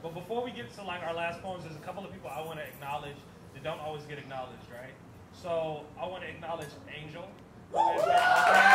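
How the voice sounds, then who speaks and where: A man hosting a poetry slam on stage, chatting loosely and pumping up the crowd. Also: a little high. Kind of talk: hyping up a live crowd. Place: night stage with string lights. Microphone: handheld mic on stand. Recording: echoey live show, loud crowd and noise.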